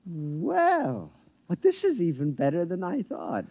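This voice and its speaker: Giddy henpecked husband. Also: male voice, sits high, slow, gravelly, slightly rough, no room sound, heavily acted. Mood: pleased. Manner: excitable, stammering, giggling. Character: henpecked husband, gleeful schemer, male, middle-aged. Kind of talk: 1950s radio drama acting. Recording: lo-fi 1950s radio broadcast.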